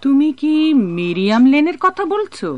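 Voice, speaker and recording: Lively, high-voiced motherly innkeeper. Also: normal speed, lo-fi sound. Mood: curious. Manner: expressive, fussing, scolding yet caring. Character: kindly, motherly woman innkeeper, brisk but hospitable. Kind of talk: Bengali radio drama acting.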